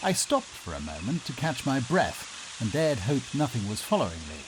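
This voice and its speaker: A man plays a pompous Victorian gentleman in old-style radio theatre. His mid-pitched delivery is melodramatic, well-mannered and very lively, with clear background ambience noise. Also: normal speed. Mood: anxious, dramatic. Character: lost Victorian nobleman, melodramatic, polite and excitable. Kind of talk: melodramatic first-person radio theatre narration and acting. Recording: noisy ambience behind the voice.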